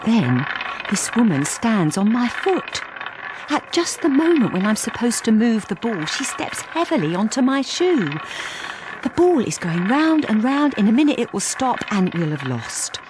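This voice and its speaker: A woman's voice: a little high, very animated, measured pace, noisy background. An actress playing a lonely, wistful housewife narrating her own story in a radio drama, confiding and understated. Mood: wry, confiding.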